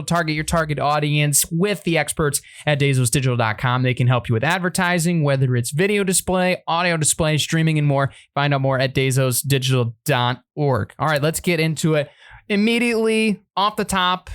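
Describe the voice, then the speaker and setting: A man's voice: fairly high pitch, smooth. Upbeat young man hosting sports radio. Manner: upbeat, energetic presenter. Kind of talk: casual sports talk.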